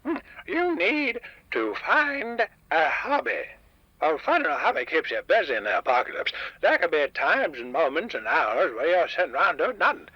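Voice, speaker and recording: Man doing a raspy, wildly animated old grump. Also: fairly high pitch, moderate pace, no echo, muffled recording, full character voice. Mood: gruff, opinionated. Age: older. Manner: crotchety, rambling, folksy. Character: grumpy, stubborn old male diner owner. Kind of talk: comedic radio drama guest. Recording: dry, band-limited radio call-in line.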